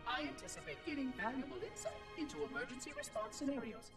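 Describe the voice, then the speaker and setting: A woman's voice: sits high, quick pace, echoey room, far from the mic, natural voice. A woman playing an eccentric, verbose robotic installation monitor in a game cutscene, speaking with formal, clinical precision. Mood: clinically curious. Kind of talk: scripted game cutscene monologue. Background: music.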